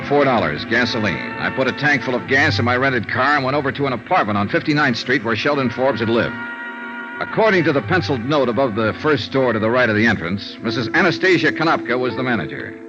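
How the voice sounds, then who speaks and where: An actor playing a wry, persistent insurance investigator in a vintage radio noir drama, clipped and probing. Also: male voice, mid-range voice, lightly acted. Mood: dry, matter-of-fact. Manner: clipped expense-account narration, probing questioning. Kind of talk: 1950s radio noir acting, narration and dialogue. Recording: vintage radio recording, dull, music behind.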